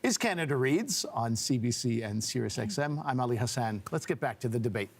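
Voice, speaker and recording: A man hosting a live book debate, easygoing and quick to laugh between remarks. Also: medium pitch, animated, creaky. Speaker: host.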